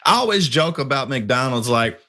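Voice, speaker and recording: Animated man in casual banter. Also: medium pitch, moderate pace. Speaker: talk show co-host.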